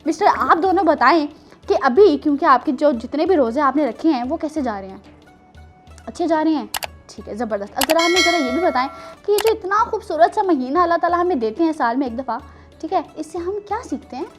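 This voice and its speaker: High-pitched Pakistani woman, encouraging children's show host. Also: expressive, a little fast, over music. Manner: encouraging, teacherly, with question prompts. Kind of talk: presenting a children's show, addressing young guests and viewers.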